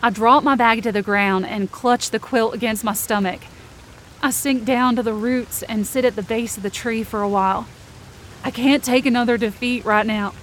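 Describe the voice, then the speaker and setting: A woman's voice: fairly high pitch, steady tone, moderate pace, slight background noise. A woman performing scripted first-person fiction narration as a tense, searching inner monologue.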